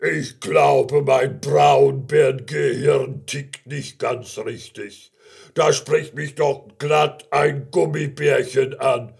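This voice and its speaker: An enormous storybook brown bear with a deep, booming, gravelly voice. He is slow-witted and affectionate, drawing out his words in a husky grumble. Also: fairly even intonation, a little mellow, heavily acted. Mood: baffled.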